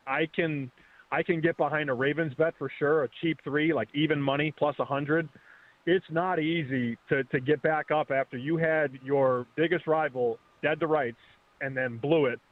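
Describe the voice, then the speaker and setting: A man in his thirties calling in as a betting guest, talking fast and casually, often restarting his words. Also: on the high side, fairly even intonation. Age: 30s. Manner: quick, restarts words, chatty. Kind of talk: casual sports betting chat. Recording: phone line.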